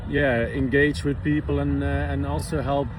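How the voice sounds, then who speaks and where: A man's voice: medium pitch, level tone, moderate pace. A man from the Netherlands, a visiting physiotherapist, answering interview questions in a hesitant way with many fillers and restarts. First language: Dutch. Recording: outdoor traffic noise behind.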